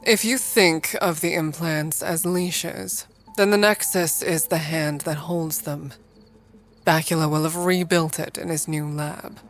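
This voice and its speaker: An actress playing a calm, self-assured defector with technical expertise in an audio drama, measured and confident. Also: female voice, deep voice.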